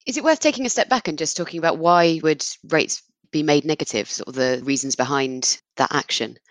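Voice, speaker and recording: A woman's voice: low voice, fairly quick, no echo. A woman co-hosting a podcast, chatting casually. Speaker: podcast co-host. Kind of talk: casual chat.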